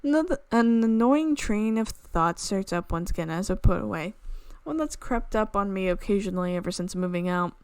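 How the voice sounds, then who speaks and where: A woman streamer reading a visual novel aloud in an expressive storytelling way, with asides. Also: mid-range voice, normal speed, hardly any pauses, clean tone, no echo. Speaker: video game streamer. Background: game music.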